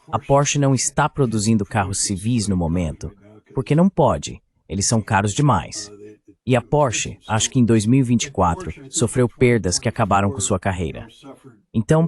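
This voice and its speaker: Low-pitched Brazilian man giving emphatic commentary. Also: darkish tone. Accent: Brazilian Portuguese. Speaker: guest commentator. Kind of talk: political commentary, dubbed translation.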